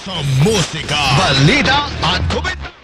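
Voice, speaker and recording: A man booms out a radio station identification in an animated, high-pitched promo delivery. His voice rides high and swings widely as he calls out frequencies. Loud music plays under him throughout, heard over FM radio. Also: moderate pace. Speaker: station announcer.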